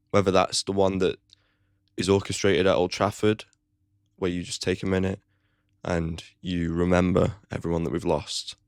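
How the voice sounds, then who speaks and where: A man's voice: deep voice, creaky, dry recording, clean studio sound. A man hosting his own football fan channel, giving a blunt, opinionated match preview with casual swearing. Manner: chatty, with frequent "like" fillers.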